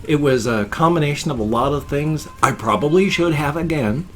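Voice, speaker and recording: A stuffed-up, slightly wheezy man in his fifties rambles through a home vlog. He talks in a loose, animated way, gesturing with both hands in a small cluttered room. Faint music sits underneath. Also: a little high, normal speed. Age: 50s. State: congested and wheezy. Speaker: vlogger. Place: small home room full of toys and collectibles. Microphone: webcam framing at desk.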